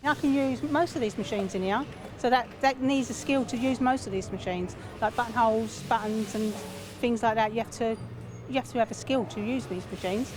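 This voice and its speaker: A woman's voice: a little high, fairly quick. Woman machinist, plain earnest talk, busy workroom chatter around her. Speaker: factory machinist. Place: clothing factory floor. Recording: busy factory floor.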